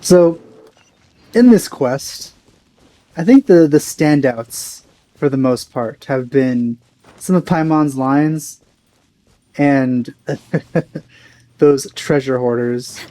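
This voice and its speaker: A man's voice: medium pitch, very slow, long pauses, warm tone. A man giving casual, offhand, hesitant gameplay commentary as a let's play host, with game music behind.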